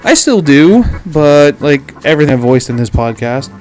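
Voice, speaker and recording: A man's voice: on the high side, sing-song, moderate pace, a little mellow, faint music behind, poor audio. A blunt, emphatic man with a Canadian accent giving opinionated film commentary as a podcast host, sometimes swearing.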